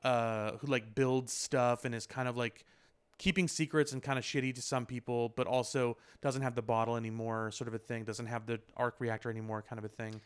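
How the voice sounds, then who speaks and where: A man's voice: mid-range voice, flat delivery, brisk, clean tone. A man co-hosting a podcast in casual discussion, explaining things in a loose, circling way full of filler words. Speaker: podcast co-host. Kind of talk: casual pop culture discussion.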